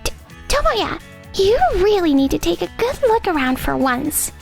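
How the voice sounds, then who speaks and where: A timid young office girl, put on by an actress for a manga dub, with music behind. The voice is tiny and very high, with swooping intonation and a sweet, tentative feel. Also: big pitch swings, normal speed, gravelly, darkish tone, exaggerated voice. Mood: curious, coaxing. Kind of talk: English manga dub acting.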